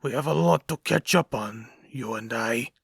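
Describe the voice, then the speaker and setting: A man playing an unhinged, taunting male supervillain in a comic book dub, sly and mock-wistful as he makes deliberate threats. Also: mid-range voice, vocal fry, slightly rough.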